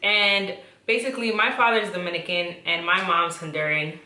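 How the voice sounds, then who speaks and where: A woman hosts her vlog, talking candidly and with energy. Her voice is bright and forward. The room gives it a noticeable echo. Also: lowish voice, normal speed. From the Bronx, New York. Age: 20s. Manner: chatty, candid and animated. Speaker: channel host. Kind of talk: casual conversational vlog. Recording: roomy echo.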